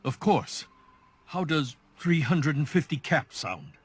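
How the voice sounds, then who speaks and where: A man's voice: a little high, big pitch swings, slow pace, husky, full character voice. An actor playing an anxious middle-aged father in a video game, strained and urgent about his family. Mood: subdued, worried. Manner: urgent, insistent, agitated. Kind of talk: dramatic video game voice acting.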